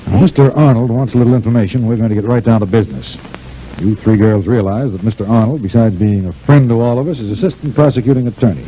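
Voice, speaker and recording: A man's voice: fairly low pitch, fast talker, dark tone, lightly acted. An actor playing a firm, businesslike, fatherly show boss in a 1940s radio serial. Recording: noisy old 1940s radio recording.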